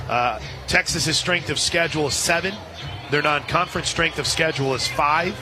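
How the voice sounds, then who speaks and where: An American man calling live softball play-by-play on the radio, slipping into conversational asides between pitches. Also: normal speed, music in the background. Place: outdoor softball stadium. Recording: noisy outdoor stadium broadcast, loud crowd. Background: crowd and stadium noise.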